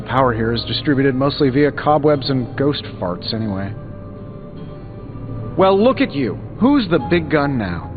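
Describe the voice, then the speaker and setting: An actor with an American accent playing a cocky, witty billionaire inventor superhero in a video game, with glib, sardonic delivery. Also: male voice, medium pitch, narrow, tinny audio, natural voice. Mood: wry. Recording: band-limited audio under game music.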